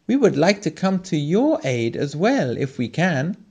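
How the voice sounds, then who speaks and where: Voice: mid-range voice, big pitch swings, a little slow, darkish tone, husky, muffled recording, voice pushed up, heavily acted. A storyteller voicing Kyomsum, a small earnest traveller on a quest who speaks kindly and helpfully. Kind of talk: storybook reading.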